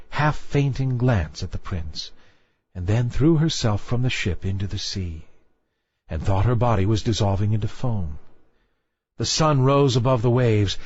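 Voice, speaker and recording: A man reading a fairy tale as a storyteller, in a measured literary style. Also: medium pitch, a little mellow, dry recording, lo-fi sound. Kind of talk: scripted fairy tale narration.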